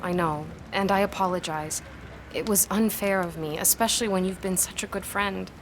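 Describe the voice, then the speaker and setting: A woman's voice: medium pitch, steady tone, normal speed, slight background noise, natural voice, rough recording. An actress playing an apologetic, secretive young woman with a hesitant, earnest way of speaking in an audio drama.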